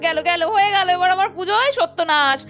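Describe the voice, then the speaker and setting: A woman's voice: very high voice, fast. A woman voicing a bossy, demanding cartoon wife with an exclamatory, repetitive manner, over music. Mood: nagging, indignant. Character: bossy, demanding, money-loving wife, easily won over. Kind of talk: doll cartoon story voice acting. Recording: faint music behind the voice.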